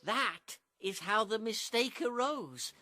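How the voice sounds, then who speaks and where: A woman acting in a radio drama as a sly, smooth-talking pig, giving pompous and unctuously persuasive speeches. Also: on the high side, expressive, slow pace, pushed and bright, no echo, heavily acted. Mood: insinuating.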